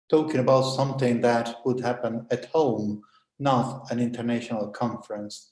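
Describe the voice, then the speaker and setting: A man's voice: medium pitch, a little mellow, echoey room, low-quality recording. A man whose native language is Italian, giving a hesitant conference talk on an online panel, with many fillers and restarts. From Italy.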